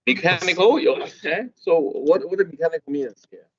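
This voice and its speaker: A middle-aged man lecturing a class, with a halting manner and constant "okay?" checks. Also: on the high side, animated, fairly bright tone, husky. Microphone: video call. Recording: dull lo-fi video call audio.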